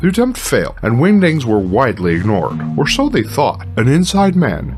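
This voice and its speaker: Man as paranoid conspiracy theorist, dramatic, hugely swooping pitch, self-important, podcast comedy, clear music behind. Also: wildly swooping pitch, moderate pace. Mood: dramatic, ominous. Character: intense, paranoid male conspiracy theorist co-host. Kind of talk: scripted comedy conspiracy segment.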